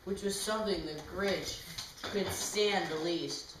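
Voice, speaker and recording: A woman's voice: medium pitch, measured pace. A woman reading a children's rhyming storybook aloud in an informal way. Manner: informal, with asides to people nearby. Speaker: reader of a storybook. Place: echoey room, away from the mic. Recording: very echoey room, distant mic, clear noise.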